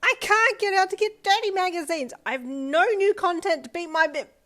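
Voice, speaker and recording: A woman voicing a lecherous adolescent boy with a screeching, high-pitched voice. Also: moderate pace, a bit bright. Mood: frantic screeching. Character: lecherous shrill adolescent boy, frantic and pleading.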